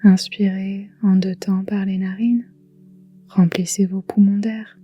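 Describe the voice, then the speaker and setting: Slow, breathy woman guiding relaxation. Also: mid-range voice, no echo, soft background music. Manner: soft, breathy, whispery delivery; slow, calm, soothing, with long pauses. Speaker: yoga nidra guide. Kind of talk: guided relaxation meditation.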